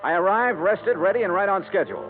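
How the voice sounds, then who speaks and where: Tinny old radio hardboiled american detective guy, talks fast, dry and wry. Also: high voice, animated. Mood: dry narration. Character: brisk hardboiled male insurance investigator, dryly unimpressed. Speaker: lead actor, narrator. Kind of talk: 1950s radio detective drama acting and narration. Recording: tinny band-limited old radio broadcast with music.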